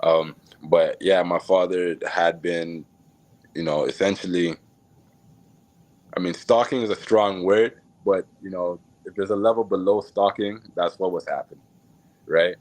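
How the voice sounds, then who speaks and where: A man from Jamaica, a podcast guest, telling his life story in a relaxed, reflective way with many fillers and small laughs. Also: deep voice, a little slow, poor audio. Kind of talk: casual storytelling interview.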